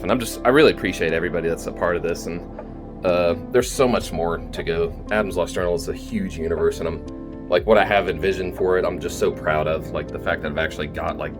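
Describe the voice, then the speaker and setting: A man with a low voice speaks informally, as a creator addressing his audience. He rambles and pauses to think aloud, keeping things conversational. Music plays clearly behind his voice. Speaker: storytelling channel creator and host. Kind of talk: casual, rambling thank-you vlog to listeners. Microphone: phone video recording.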